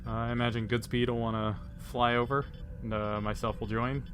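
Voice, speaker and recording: Man, tabletop player, casual chat, medium pitch, faint background noise. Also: flat delivery, moderate pace.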